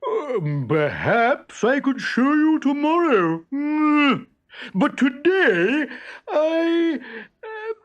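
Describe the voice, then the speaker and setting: Boastful, slow-witted storybook bull: very draggy delivery, hesitant bluster, drawn-out mooing hums. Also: male voice, very high-pitched, very animated, muffled recording, voice pushed up, heavily acted. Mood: whining excuses. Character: boastful, dim-witted great bull, full of feeble excuses. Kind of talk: children's storybook character voice.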